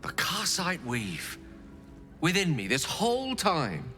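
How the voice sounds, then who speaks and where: An actor playing an earnest, eloquent wizard, articulate and theatrical. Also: male voice, fairly high pitch, wildly swooping pitch, slow pace, pushed and bright, soft background music, heavily acted. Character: earnest young wizard, eloquent, self-reproaching. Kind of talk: video game voice acting.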